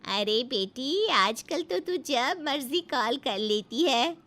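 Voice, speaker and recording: Woman playing a nosy, slow-talking doting mother. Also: high-pitched, expressive, fairly bright tone, heavily acted. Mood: teasing. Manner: prying, motherly. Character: nosy, doting middle-aged mother of a married daughter.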